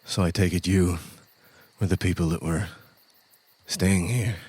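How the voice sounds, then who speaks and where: A rugged, suave man of the wilds speaks in a very low register as a game master acts him out. The voice is husky and rough-edged, made for a tabletop role-playing story. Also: fairly even intonation, measured pace, darkish tone, dry recording. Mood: weary, low. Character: rugged suave wild mountain man in furs.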